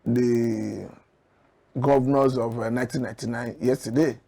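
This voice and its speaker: A man with a Nigerian accent giving current affairs commentary as a guest analyst, measured and earnest as he argues his points. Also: mid-range voice, steady tone, vocal fry, mellow, husky.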